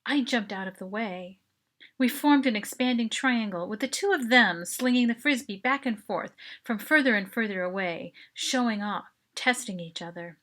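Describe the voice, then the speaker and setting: A woman reading a first-person short story as its author, in an expressive, dramatic narration style. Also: fairly high pitch, moderate pace.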